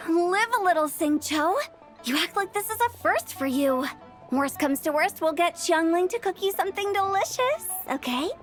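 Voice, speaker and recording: A woman's voice: very high-pitched, animated, moderate pace, soft background music. A woman voicing a playful, cheeky, upbeat teenage girl in a video game, with a breezy, teasing way of talking.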